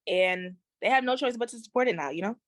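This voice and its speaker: A woman's voice: on the high side, fast, dry recording, studio quality. A young woman singer, born in Haiti, talking casually as an interview guest, with slang and many fillers. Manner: relaxed, slangy, with frequent fillers.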